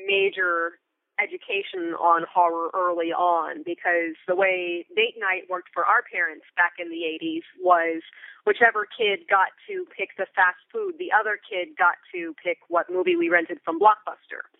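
An American woman in her thirties answering interview questions in a thoughtful, articulate, conversational way, with deliberate emphasis on key words. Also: on the low side, animated, creaky, dry recording. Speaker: interview guest, theater company member and Foley artist. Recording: thin, phone-like remote line.

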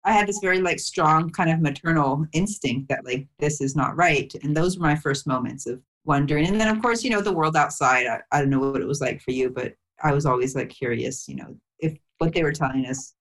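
Low-voiced woman recalling her childhood. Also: fairly quick, hardly any pauses. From grew up mostly in Thailand. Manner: reflective, soft-spoken, many "you know" fillers, light laughs. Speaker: memoir author, podcast guest. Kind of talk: casual interview chat.

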